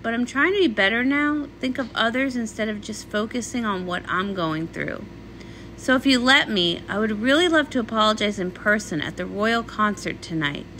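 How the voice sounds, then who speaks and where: A woman's voice: on the high side, lively intonation, moderate pace, bright, forceful tone, a little hiss or hum, rough recording. A woman voicing a young female pony pop star, dramatic, defensive and a little whiny, in a comic read-along. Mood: apologetic.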